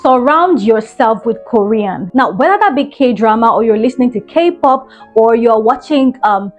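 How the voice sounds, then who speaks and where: A woman's voice: on the high side, animated, normal speed, faint music behind. Earnest young woman giving study tips. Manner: earnest, encouraging, emphatic repetitions. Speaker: language-learning vlogger. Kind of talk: tips vlog, direct to camera.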